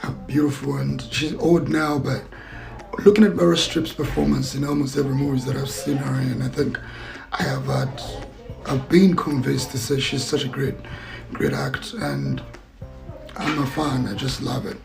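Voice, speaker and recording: A man's voice: medium pitch, normal speed, vocal fry, over music, poor audio. A man answering interview questions as an actor and filmmaker, reflective and searching for his words. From Zambia.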